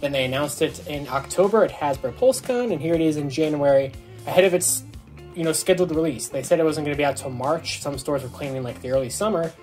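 A man's voice: a little high, quick pace, a little mellow, soft background music. Young male vlogger, informal and enthusiastic, talking to camera in a small bedroom. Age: young adult. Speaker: toy review vlogger. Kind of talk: casual unboxing review to camera. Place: small dorm bedroom, indoors.

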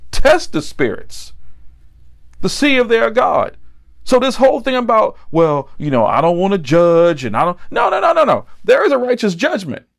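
A man's voice: sits high, creaky. A middle-aged American man delivering a sermon as a preacher, blunt and admonishing, driving points home with repetition. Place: indoor set with backdrop, standing at a table.

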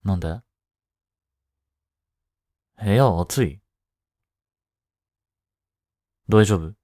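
A young man acts a studious high-school boy in an intimate audio drama, close and dry in sound. He talks slowly in a low register, casual and curt, teasing yet caring. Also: dark tone, no room sound. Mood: relaxed, teasing. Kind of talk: intimate Japanese boyfriend-roleplay audio drama.

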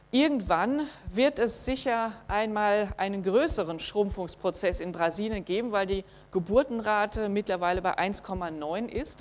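Middle-aged woman giving a uni lecture, lots of ums, calm and measured, lecture hall. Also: mid-range voice. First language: German. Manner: measured, thinking aloud with frequent fillers. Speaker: university lecturer. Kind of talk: academic lecture with slides.

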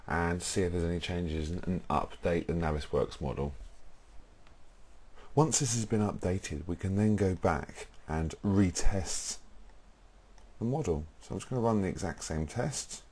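A young British man presenting a software tutorial, explaining informally step by step in a husky voice. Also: deep voice. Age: young adult.

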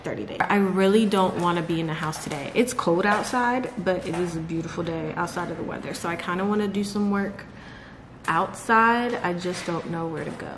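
A woman, chatting casually to camera in a vlog, laughing often and talking to her dogs. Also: lowish voice. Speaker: vlogger. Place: large bright living room at home. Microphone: camera on a table close by. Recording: echoey room with clear background noise.

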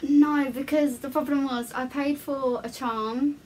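A young woman with a southeast English accent and a fairly high voice. She talks casually, as a vlogger would. The recording is made in a bedroom with faint background noise. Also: fairly even intonation, a little slow, darkish tone, rough recording. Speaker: vlogger making prank calls. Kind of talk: casual chat. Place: small bedroom, indoors. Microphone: camera a short distance away.

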